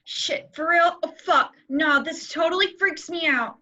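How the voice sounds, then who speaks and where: Woman reads a whiny, fretful boy; high and bright, dull recording. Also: a little slow, full character voice. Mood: nervous. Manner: worried and whiny. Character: anxious germ-fearing boy, fussy and nervous. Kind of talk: table read over a call.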